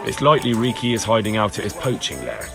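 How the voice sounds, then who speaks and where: A man's voice: lowish voice, sing-song, fast talker, over loud music. An actor playing a determined young man in a video game, pressing people with matter-of-fact questions. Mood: insistent. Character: determined young man searching for a missing villager. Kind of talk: video game cutscene acting.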